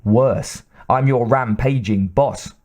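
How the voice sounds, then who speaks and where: A man voicing a gruff, sarcastic male company boss with a booming way of talking. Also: slow, a little mellow.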